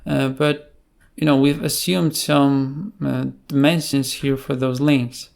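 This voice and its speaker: A man presents a technical tutorial in an unscripted way. His pace is slow and his delivery halting, broken by frequent filled pauses. The voice has a noticeable gravelly fry and sits at a middling pitch. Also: fairly even intonation. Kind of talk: screen-share tutorial, unscripted explanation.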